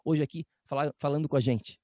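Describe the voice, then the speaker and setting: Man, fairly high pitch, dry close sound, chatty informal host. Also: fairly quick, dark tone, no echo. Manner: chatty, informal, loose phrasing. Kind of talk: casual interview chat.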